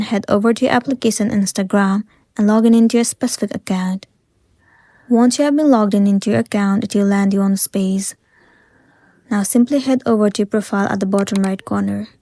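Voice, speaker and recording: A woman's voice: medium pitch, mellow, dry recording, clean studio sound. A woman narrating a step-by-step tutorial in a plain-spoken, instructional way, as a scripted voice-over.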